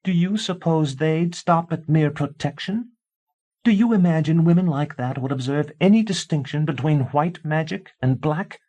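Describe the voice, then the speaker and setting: A man reading an audiobook, voicing a frantic young wife who secretly practices witchcraft, shrill as she pleads and accuses. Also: normal speed, mellow, close to an everyday voice. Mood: shrill, accusing.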